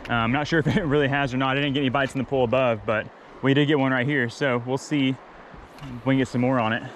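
A man's voice: medium pitch, fast talker, slight background noise. A man filming himself wading a creek, chatting casually and explaining where the fish should be. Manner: excitable, explains the spot while fishing. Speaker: fishing vlogger. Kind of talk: casual outdoor fishing commentary. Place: outdoors wading a mountain creek.

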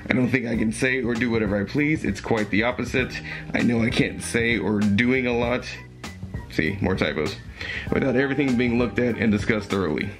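Young man going hoarse, earnest and halting. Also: on the low side. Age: young adult. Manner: hesitant, earnest, with fillers and restarts. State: losing his voice, hoarse. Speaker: commentary YouTuber. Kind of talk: reading aloud and commenting. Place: small room at home, green backdrop. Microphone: webcam close up.

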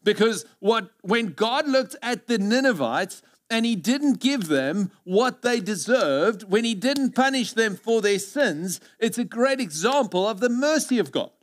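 A man in his thirties, a native Afrikaans speaker with a South African accent, preaching earnestly on a church stage through a headset mic, moving between loud emphasis and hushed asides. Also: extremely high pitch. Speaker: pastor. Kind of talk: sermon.

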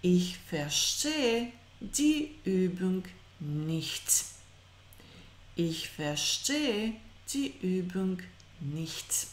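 A woman in her thirties teaching an online German lesson. She says German example sentences slowly and carefully and explains between them in quick asides, recorded on a webcam. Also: lowish voice, sing-song, reverberant.

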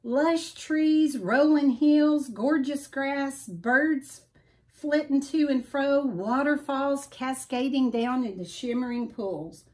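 A middle-aged woman reading a children's story aloud with deliberate, expressive emphasis. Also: high-pitched. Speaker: storybook reader. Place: small furnished room at home.

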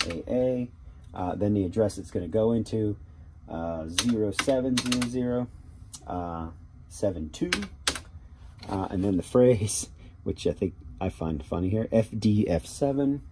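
A man giving a casual how-to demonstration as a hobbyist, talking haltingly and thinking aloud. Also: lowish voice, expressive. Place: small cluttered workshop indoors. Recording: noticeable background noise with clicking keys.

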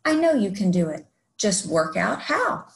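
A woman's voice: medium pitch, sing-song, unhurried, creaky. A woman voicing a kindly old grandfather in a storybook, encouraging and reassuring.

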